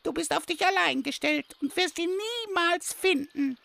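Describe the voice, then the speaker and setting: Shrill, creaky, manic little goblin. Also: normal speed, no room sound, heavily acted. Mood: scheming, pleading. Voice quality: creaky, switching between two voices. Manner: pushy, excitable, cackling. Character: manic, pushy male goblin healer, slightly mad. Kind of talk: comic fantasy radio play acting.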